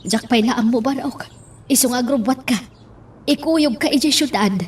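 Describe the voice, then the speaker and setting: A woman's voice: high-pitched, normal speed, slightly rough, far from the mic. A woman acting in an amateur radio drama, urgently telling someone to get ready and leave.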